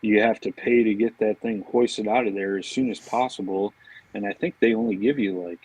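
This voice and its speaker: Midwestern guy just chatting, low husky voice, says man and you know a lot, dry sound. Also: fairly even intonation, a little fast, a little mellow, no room sound, rough recording. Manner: informal, anecdotal, peppered with "man" and "you know". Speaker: podcast guest, fishing marketing director. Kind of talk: casual chat.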